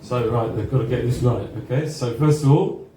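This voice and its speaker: A man's voice: mid-range voice, moderate pace, far from the mic. A man hosting a live event on a bar stage, introducing performers in a jovial, chatty showman's way. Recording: echoey, noisy bar recording from off.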